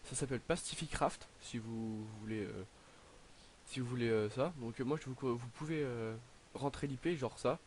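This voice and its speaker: A teenage boy narrating his game in a casual, hesitant way, filling pauses with "donc, euh" and sounding slightly stuffy from a cold. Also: medium pitch, slight background noise. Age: teens. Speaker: gaming YouTuber. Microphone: headset.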